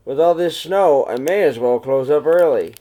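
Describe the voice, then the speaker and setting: A man's voice: a little high, expressive, normal speed, warm tone, natural voice. A man voicing a friendly, joshing cafe worker from a picture book with a chummy, familiar manner.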